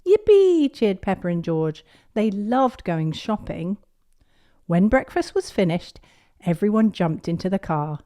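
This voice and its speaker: A woman reading a picture book aloud to young children in an expressive storytelling manner. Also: lowish voice, a little slow. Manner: expressive, clearly paced delivery of picture-book narration.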